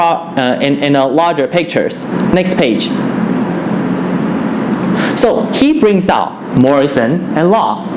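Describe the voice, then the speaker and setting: A young man from Taiwan whose first language is Chinese, giving a class presentation in English with a Taiwanese accent, often restarting and repeating words as he talks. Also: on the high side. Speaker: student presenter. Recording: echoey room with background noise.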